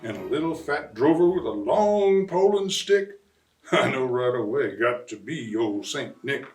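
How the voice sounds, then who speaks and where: A man voicing an excitable bayou papa who tells a rhyming Christmas storybook tale in a folksy, animated way with drawn-out emphasis. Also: mid-range voice. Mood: excited wonder. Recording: roomy, distant mic, faint music.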